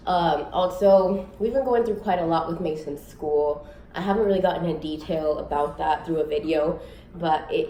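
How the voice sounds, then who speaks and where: A young woman vlogging casually to camera at home, a little sick, rambling with many fillers in a raspy voice. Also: darkish tone. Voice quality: raspy, low voice. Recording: echoey room, faint noise.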